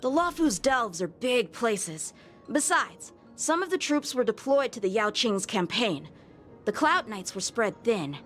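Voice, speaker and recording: A proud young sword trainee for a video game. The delivery is slow, earnest and quick to take offence, over faint music. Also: female voice, a little high, sing-song, a bit bright. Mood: defensive. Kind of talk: scripted video game dialogue.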